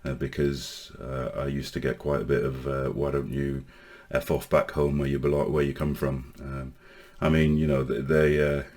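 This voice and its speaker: A man in his forties from Northern Ireland with a mostly English accent, telling his life story to camera in a halting way, with many pauses and restarts. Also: rumbling low, little pitch movement, darkish tone. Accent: English with Northern Irish traces. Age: 40s. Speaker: online safety vlogger.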